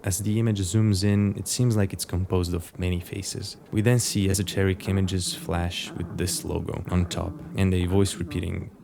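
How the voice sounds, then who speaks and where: Creaky, very low-voiced male narrator. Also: little pitch movement, moderate pace, few pauses, noisy background. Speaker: narrator of an analog horror video essay. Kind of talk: scripted commentary.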